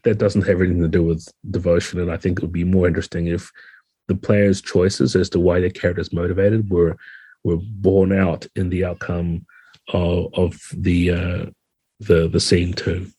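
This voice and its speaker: A man with a deep voice talks through his ideas in a conversational interview. His delivery is level, with little melodic movement, and the recording is close and dry. He explains thoughtfully, qualifying points as he goes. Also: flat delivery, moderate pace, warm tone, slightly rough, no echo. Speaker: game designer, podcast guest. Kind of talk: conversational interview answers, explaining game rules.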